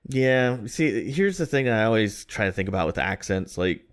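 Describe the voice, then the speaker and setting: A man's voice: on the low side, big pitch swings, normal speed, smooth. A man in his thirties from Florida, co-hosting a podcast in a rambling, self-deprecating casual chat. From Florida, USA. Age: 30s. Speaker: podcast co-host.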